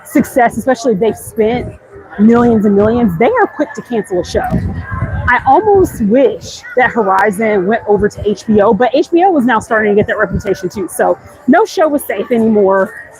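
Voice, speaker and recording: A woman's voice: a little high, lively intonation, moderate pace, a little mellow, breathy edge, low-quality recording. A woman chatting casually and candidly to viewers as she hosts a walking livestream, speaking emphatically and directly. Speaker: content creator hosting a live walk-and-talk stream. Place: outdoors, walking through a busy theme park. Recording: outdoor crowd and ride noise. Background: park ambience, passing ride noise.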